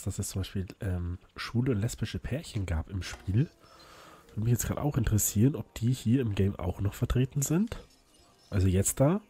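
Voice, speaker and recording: Hoarse man with a dark voice. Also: fairly low pitch. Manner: chatty, rambling, frequent fillers and restarts. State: slightly hoarse from illness. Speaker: let's play host. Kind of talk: casual gameplay commentary.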